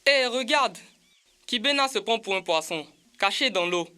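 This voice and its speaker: An actor voicing a curious, naive child in an educational cartoon, speaking simply and clearly. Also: mid-range voice, animated, normal speed, sharp tone. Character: curious, naive child character playing hide-and-seek. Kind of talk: dubbed educational cartoon dialogue.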